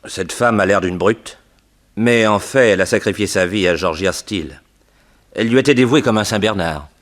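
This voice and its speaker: Man as a low-voiced, courteous doctor. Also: normal speed, a bit bright, natural voice. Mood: measured, concerned. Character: measured, courteous bachelor doctor and researcher. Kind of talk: 1960s French radio detective drama acting.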